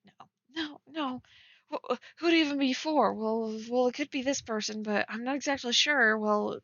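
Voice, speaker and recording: Young female co-host, slightly high voice, tentative and rambling casual commentary, dry close recording. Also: moderate pace, a bit bright, no echo. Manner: rambling, tentative, heavy use of "like". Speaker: co-host reading and critiquing fan fiction. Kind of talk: casual chat with read-aloud passages. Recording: dry, slightly dull lo-fi mic.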